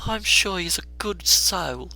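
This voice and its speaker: A gentle Victorian mother from a storybook, acted by a man. The voice is lifted well above a man's usual talk, soft and fond, and takes its time over the words. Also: sits high, animated, slow, creaky, voice pushed up, full character voice, rough recording. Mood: gentle, interested.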